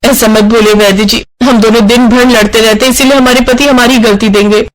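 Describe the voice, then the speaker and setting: A man voicing a young, quarrelsome, sharp-tongued daughter-in-law with a dramatic manner in an animated moral story. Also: very high-pitched, brisk, poor audio. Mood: anxious, pleading. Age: young adult. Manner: bickering, dramatic.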